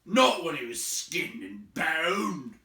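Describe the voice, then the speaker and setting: A man's voice: fairly high pitch, sing-song, echoey room, a little hiss or hum, voice pushed up, full character voice. A man voicing a big, crude, grumpy troll who bellows in coarse rustic slang in a storybook reading. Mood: suspicious, scornful. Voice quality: forceful, bellowing, drawn-out. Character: big male troll William, crude, grumpy, suspicious bully.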